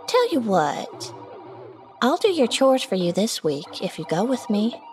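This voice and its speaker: A woman's voice: fairly high pitch, big pitch swings, measured pace, darkish tone, faint music behind, pitched above the performer's own voice. A woman voicing an eager young girl in a horror story, coaxing and persuading like a little schemer. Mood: bargaining. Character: eager, scheming young girl pestering her older brother.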